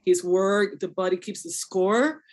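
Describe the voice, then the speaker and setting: A woman's voice: medium pitch, measured pace, darkish tone. Thoughtful woman dancer, laughing often. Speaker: dancer and choreographer guest. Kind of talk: reflective interview conversation.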